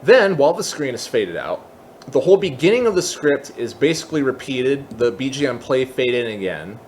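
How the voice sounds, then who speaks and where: A man giving an unscripted software tutorial, explaining casually step by step and thinking aloud as he goes. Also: medium pitch, very animated, a little fast, slight background noise. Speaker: tutorial presenter.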